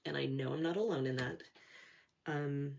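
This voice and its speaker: A woman's voice: deep voice, moderate pace, creaky, darkish tone, lo-fi sound, a little hiss or hum. A middle-aged woman chatting casually about her cross-stitch projects, a little nasal from a cold, rambling and self-deprecating with plenty of fillers. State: nasal from a cold, sore throat. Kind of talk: casual chat to camera.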